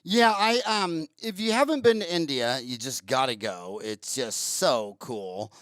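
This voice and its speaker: Guy hosting a podcast, rambles, lots of ums, smooth voice, dry close mic. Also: medium pitch, a little slow, fairly bright tone, no echo. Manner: rambling, searches for words with many ums. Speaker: podcast host. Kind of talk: casual interview chat.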